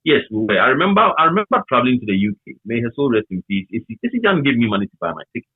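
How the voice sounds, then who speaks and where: A man's voice: medium pitch, sing-song, racing, breathy edge, dry recording. A man with a Ghanaian accent reminiscing casually as an interview guest on a tinny call, emphatic and often repeating his phrases. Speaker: interview guest, former radio worker. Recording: tinny, narrow phone-like line.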